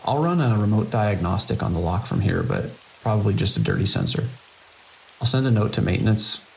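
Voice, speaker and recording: A man playing a seasoned, wry security chief in an audio drama, with a measured, world-weary, procedural delivery and a gravelly voice. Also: lowish voice, darkish tone, poor audio. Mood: businesslike. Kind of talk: audio drama dialogue and log monologue. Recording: faint hiss and rain-like noise.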